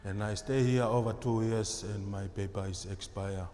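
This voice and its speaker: A man giving a halting personal account to a meeting. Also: lowish voice, fairly even intonation, mellow. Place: community hall. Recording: echoey hall, background noise.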